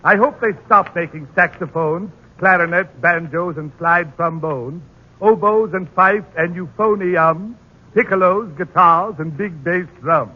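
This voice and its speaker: An eccentric male poet declaims silly rhymes with pompous flourish in a 1940s radio comedy. His voice is husky, and the old broadcast sounds dull and muffled. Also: sits high, a little slow.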